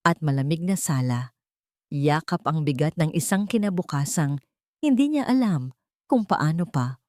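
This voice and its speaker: Expressive low-voiced Filipino woman storyteller. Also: no room sound, clean studio sound. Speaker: storyteller, narrator. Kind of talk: dramatic scripted story narration.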